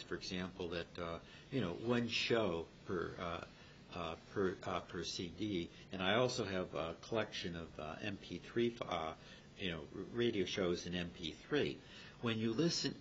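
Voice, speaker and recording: An older man with a deep voice talks haltingly at a club meeting, repeating himself and wordily qualifying his points. The recording is muffled, with clear rumbling noise. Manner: halting, repetitive, wordy. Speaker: club member. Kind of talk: informal group discussion.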